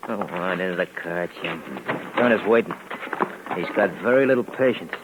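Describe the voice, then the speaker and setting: A man's voice: low voice, moderate pace, lightly acted. An actor playing a menacing, uneducated gangster henchman who talks in slangy, repetitive tough-guy phrases in a 1950s radio drama. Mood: smug menace. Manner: slangy tough-guy talk, repeats himself. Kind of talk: 1950s radio crime acting. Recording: noisy, crackly 1950s radio recording.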